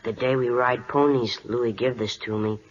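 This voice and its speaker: A male actor playing a shy, earnest adolescent Siamese prince, halting and polite in an old radio drama. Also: mid-range voice, steady tone, lightly acted. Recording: muffled vintage radio broadcast.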